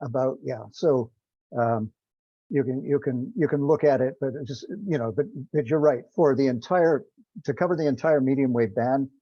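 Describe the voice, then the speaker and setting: A man talking informally and technically on a conference call, halting and hesitant as he explains. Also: mid-range voice, no room sound. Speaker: meeting participant explaining software. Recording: dull, lo-fi conference call audio.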